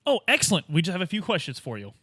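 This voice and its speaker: A man's voice: high-pitched, wildly swooping pitch, very fast, bright, forceful tone, husky, no room sound. A man playing a tabletop game, casually bantering and asking questions in a flippant, hesitant way. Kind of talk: casual improvised roleplay banter.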